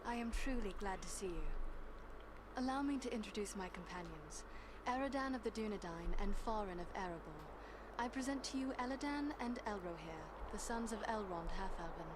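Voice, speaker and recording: Poised elven fighter, female actor, fantasy game: mid-range, formal, serious, over clear background noise. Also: medium pitch, expressive, a little fast, close to an everyday voice. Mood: courteous. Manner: formal, composed. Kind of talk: video game cutscene acting.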